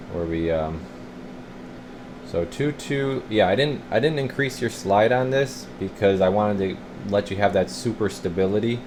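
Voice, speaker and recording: A man's voice: deep voice, background noise. A man giving a casual, rambling hands-on walkthrough as a radio technician, thinking aloud as he works.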